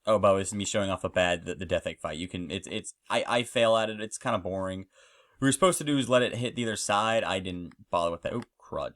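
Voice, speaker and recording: A man's voice: deep voice, fast talker, a bit bright, no room sound, studio quality. A man giving casual, rambling, enthusiastic commentary while playing a video game. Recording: music playing behind the voice. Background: video game music.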